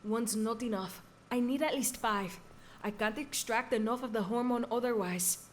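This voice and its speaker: An actress plays a young woman scientist working through a zombie outbreak in a video game. Her voice sits on the high side, earnest and businesslike, with clear noise behind it. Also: moderate pace, lightly acted. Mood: urgent, apologetic.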